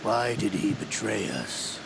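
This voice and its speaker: Gravelly, low-voiced composed older villain. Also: male voice, big pitch swings, slow, heavily acted. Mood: measured, businesslike. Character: composed older villainous intelligence officer, quietly evasive. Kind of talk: video game cutscene acting. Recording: noisy vehicle-like ambience behind.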